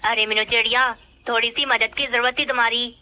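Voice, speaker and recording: A woman's voice: on the high side, fairly even intonation, a little fast, sharp tone. A woman voicing a falsely friendly male pigeon in a children's cartoon. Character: kite slyly imitating a friendly male pigeon. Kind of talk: Hindi children's cartoon dialogue. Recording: birdsong noise behind.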